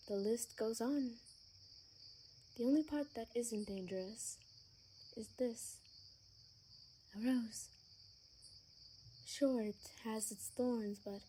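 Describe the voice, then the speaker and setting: A woman playing a gentle, sheltered young female vampire in an ASMR roleplay, speaking softly and hesitantly, at times in a breathy whisper. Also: on the high side, a little hiss or hum.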